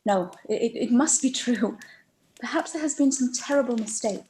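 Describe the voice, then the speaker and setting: A woman playing a gentle, kind-hearted elder sister who speaks softly and earnestly in a live-read radio play. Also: on the high side, expressive, moderate pace, slight background noise, natural voice.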